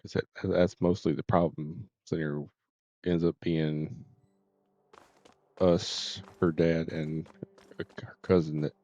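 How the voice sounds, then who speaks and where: A woman chatting casually and haltingly on a live game stream, in an easygoing way. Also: very deep voice, level tone, warm tone, no echo. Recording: muffled mic with game music behind. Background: video game music and dialogue.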